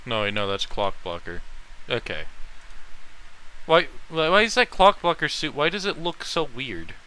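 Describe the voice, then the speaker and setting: A man's voice: fairly high pitch, sing-song, moderate pace, bright, forceful tone, a little hiss or hum, low-quality recording. A man chatting casually as a livestream host, reacting to what he sees and giving blunt opinions with casual swearing. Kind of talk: casual livestream chat, reacting to fan art and comments.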